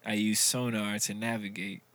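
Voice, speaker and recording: A man reads out quiz clues in a relaxed party game, his voice bright and forceful. The sound is dry and close. He chuckles easily and keeps things loose. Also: lowish voice, moderate pace, no echo. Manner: reads trivia clues aloud, laughing often. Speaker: quiz reader in a drinking game. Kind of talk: casual game chat.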